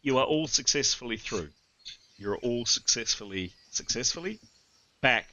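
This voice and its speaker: A man running a tabletop game as game master, thinking out loud and correcting himself as he goes. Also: mid-range voice, expressive, moderate pace, lo-fi sound. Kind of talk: casual tabletop game narration and adjudication.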